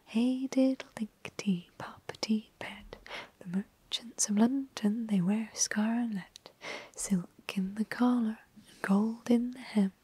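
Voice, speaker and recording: A woman's voice: on the high side, takes it slowly, dark tone, slightly rough, no echo, heavily acted. A woman voicing a chorus of tiny, cheeky male mice singing rhymes in a whisper. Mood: playful. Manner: whispered sing-song.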